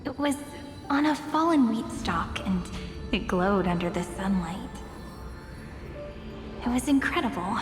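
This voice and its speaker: A woman playing a gentle, wistful young woman in an anime video game, with a dreamy, deliberate storyteller's manner. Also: medium pitch, lively intonation, close to an everyday voice. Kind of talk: English-dubbed anime video game acting. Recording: very echoey long hall, music behind.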